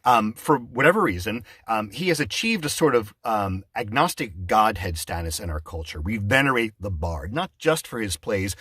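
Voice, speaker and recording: A man speaking as a theatre director in a one-sided conversation, explaining his show in a halting, digressive way and often breaking off to answer an unheard listener. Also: lowish voice, fairly bright tone, husky, no room sound, studio quality. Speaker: theatre director, adapter and announcer. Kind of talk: one-sided mock conversation promoting an audio drama event.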